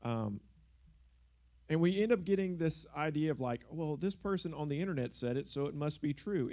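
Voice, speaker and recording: A young man with a drawl preaches to a congregation in a loose, joking way, filling his talk with "like" and "y'all." His voice is mellow and warm, carried through a handheld microphone in a church. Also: fairly high pitch. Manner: joking, conversational, uses filler words like "like" and "y'all". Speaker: guest preacher. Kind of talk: casual sermon. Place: church sanctuary.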